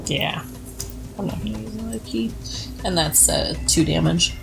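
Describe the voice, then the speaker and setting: A woman's voice: on the low side, unhurried, gravelly. A woman talking casually as a player in a tabletop roleplaying game. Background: music.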